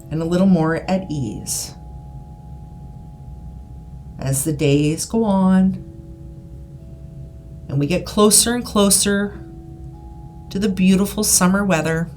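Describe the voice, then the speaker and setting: Slow-paced woman guiding hypnosis over music. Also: mid-range voice, a little mellow. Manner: soothing, deliberate, repetitive phrasing with pauses. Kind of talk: scripted guided hypnosis narration. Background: background music.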